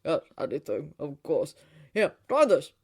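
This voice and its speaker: A woman's voice: low-pitched, very animated, a little slow, slightly rough, exaggerated voice. Woman reading a doting, eager father, emphatically. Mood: jubilant. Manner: eager, encouraging.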